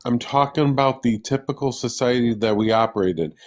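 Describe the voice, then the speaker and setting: A man's voice: mid-range voice, fairly quick. A man hosting a livestream, arguing hotly with callers and swearing in exasperation. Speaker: livestream host. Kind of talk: heated livestream debate.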